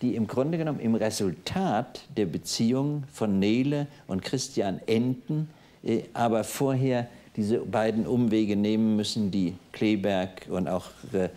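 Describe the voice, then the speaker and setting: A man in his sixties with a low, warm, mellow voice hosts a literary discussion on TV. He talks in a thoughtful, wandering way, pausing with frequent hesitations. He is heard in a studio before a live audience. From East Germany. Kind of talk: televised panel discussion.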